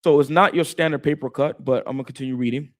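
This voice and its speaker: A man co-hosting a Bible study talk, explaining in a casual, animated way and stumbling over words at times. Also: mid-range voice, racing. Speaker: co-host teaching scripture.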